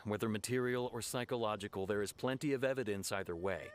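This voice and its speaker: An actor playing an earnest, stern young guard officer in an anime game, speaking in a measured, formal way. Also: male voice, on the low side, close to an everyday voice. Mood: frustrated. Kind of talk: anime video game dialogue. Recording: music behind the dialogue.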